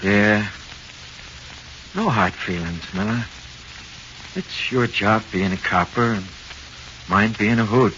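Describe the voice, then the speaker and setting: A condemned American crook in an old radio play. He talks slowly and plainly in slang, with a resigned weariness. The recording is dull, with noise behind him. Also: on the low side, expressive, pushed and bright, exaggerated voice. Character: weary condemned small-time crook, ghostly narrator of his own death. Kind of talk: 1940s radio acting.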